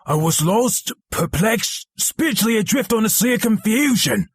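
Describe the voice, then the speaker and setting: Man playing a mischievous little halfling, smug, mean, high-pitched, dry close sound, cartoon. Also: animated, normal speed, slightly rough, no room sound. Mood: mock-solemn. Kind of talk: animated comic fandub acting.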